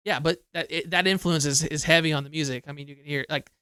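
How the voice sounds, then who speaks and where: A man with a bright, crisp and fairly high voice co-hosts a casual music podcast from a dry, close-miked spot. He talks in an easygoing, agreeable way and is quick to chime in. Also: steady tone, dry recording. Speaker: podcast co-host.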